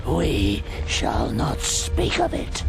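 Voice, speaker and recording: Gravelly, ominous giant old spider. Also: male voice, medium pitch, huge pitch swings, exaggerated voice. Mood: grave, solemn. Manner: slow, halting, ominous delivery. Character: ancient giant male spider, grave and menacing. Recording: loud music under the voice.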